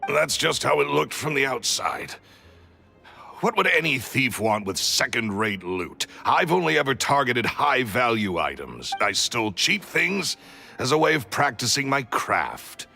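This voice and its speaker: A man's voice: mid-range voice, sing-song, unhurried, vocal fry, sharp tone, exaggerated voice. An actor playing a resentful male magician's apprentice turned thief, confessing in a brooding, bitter way in a video game. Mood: resigned. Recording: faint music behind.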